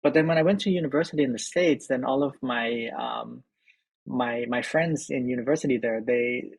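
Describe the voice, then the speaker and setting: A middle-aged man from Thailand, a film editor and director, answering interview questions in a halting way with many repeated words and fillers. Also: mid-range voice, vocal fry, slightly rough, dry recording.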